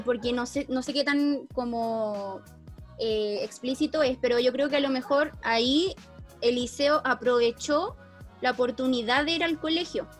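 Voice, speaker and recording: A woman with a Chilean accent in a casual discussion, explaining things in an animated, slangy, informal way. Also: sits high. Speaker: teacher.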